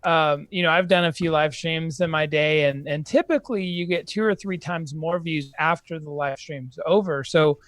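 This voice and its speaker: A man's voice: on the high side, moderate pace, few pauses, a bit bright, no room sound. A man co-hosting a live-stream Q&A, speaking hesitantly with many fillers and the odd joke. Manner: hesitant, filler-heavy, joking. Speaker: co-host.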